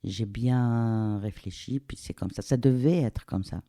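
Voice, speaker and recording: A middle-aged woman from Algeria, speaking reflectively and pausing to search for words in a casual interview. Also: very deep voice, warm tone, no room sound, clean studio sound. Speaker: interviewee, the host's mother.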